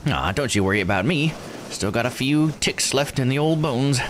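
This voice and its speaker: A kindly old grandmother from a visual novel, voiced by a man, talks in a calm, folksy way. She is unhurried and drily playful, pitched in a male middle register, with faint noise behind her. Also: natural voice. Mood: folksy reassurance. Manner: folksy, unhurried, dryly kidding. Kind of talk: visual novel character read aloud.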